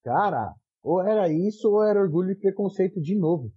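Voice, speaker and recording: A man's voice: high-pitched, animated, fairly quick, slightly rough, dull audio. A man co-hosting a casual podcast, wry and self-deprecating in his remarks. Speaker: podcast co-host.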